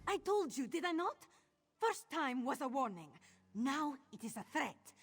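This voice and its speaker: A woman's voice: high-pitched, sing-song, a little slow, exaggerated voice. A woman voicing a menacing, commanding cat-folk bully in a fantasy game roleplay. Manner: commanding, threatening.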